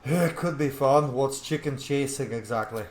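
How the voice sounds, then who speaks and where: A man's voice: medium pitch, normal speed. A man giving gaming commentary and reading out dialogue choices in character as he role-plays. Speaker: gamer playing and commenting. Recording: roomy echo, faint game noise.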